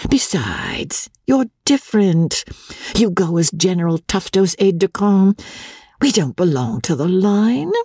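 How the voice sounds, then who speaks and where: Narrator playing a sly young wife, pitched high. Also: very animated, a little slow, a little mellow, breathy edge, no room sound, studio quality, exaggerated voice. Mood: playful.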